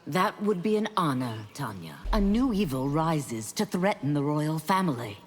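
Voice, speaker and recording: A woman's voice: low voice, big pitch swings, moderate pace. An actress playing an earnest, resolute warrior woman in a video game, speaking with formal intensity. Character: earnest, resolute female warrior seeking redemption. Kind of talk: video game character acting. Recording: long reverb with clear background noise.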